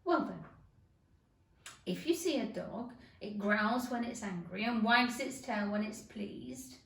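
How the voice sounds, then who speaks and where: A woman voicing a grinning mad cat from a children's storybook, teasing and self-assured, with slow, drawn-out delivery. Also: fairly high pitch, animated, gravelly, heavily acted. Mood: smug, unhurried. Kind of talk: children's storybook character reading. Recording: echoey room.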